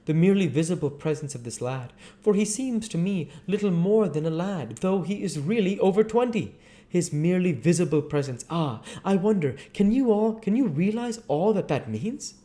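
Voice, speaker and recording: An ardent, anguished artist acted out by a man in a storybook reading. He speaks in a fairly high, soft-toned voice, fervent and confessional, as if infatuated. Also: lively intonation, normal speed. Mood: rapturous. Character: ardent, anguished male painter, earnest and infatuated.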